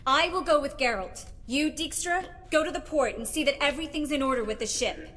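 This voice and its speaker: A woman's voice: high-pitched, brisk, fairly bright tone. A woman voicing a spirited, determined sorceress in a video game, direct and wry. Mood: commanding.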